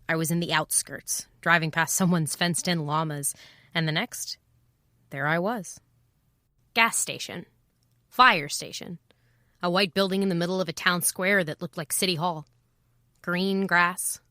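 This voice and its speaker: A wry, world-weary woman records her travel journal. Her voice sits a little low with a bright, forward edge, and she reflects in short fragments. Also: dry recording, studio quality. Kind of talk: first-person audio drama narration.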